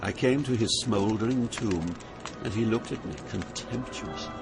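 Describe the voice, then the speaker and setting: An actor playing an awed poet who recounts his journey through Hell in measured, literary dramatic narration. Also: fairly low pitch, gravelly, over loud music. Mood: somber. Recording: loud ambient sound effects behind narration.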